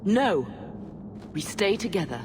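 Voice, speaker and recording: A woman's voice: medium pitch, sing-song, slow pace, background noise. A woman playing an anxious, grieving middle-aged mother in a video game, earnest, genteel and reproachful. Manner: earnest, emotional. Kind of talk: dramatic video game dialogue.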